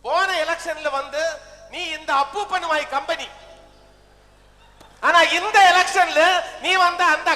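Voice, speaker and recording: An actor playing the scolding ghost of a grand film-star leader in a stage comedy, theatrical and declamatory. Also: male voice, very high voice, unhurried, long pauses, sharp tone, exaggerated voice. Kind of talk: stage comedy skit acting. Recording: echoey stage hall with music.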